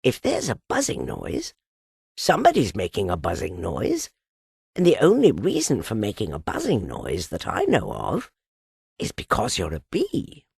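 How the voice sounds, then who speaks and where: A woman voicing a simple, slow-thinking male storybook bear with a growly voice and a naive, ponderous manner. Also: a bass voice, huge pitch swings, vocal fry, darkish tone, slightly rough, no echo, studio quality, full character voice. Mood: pondering. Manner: ponderous, naive reasoning aloud. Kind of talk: children's storybook character reading.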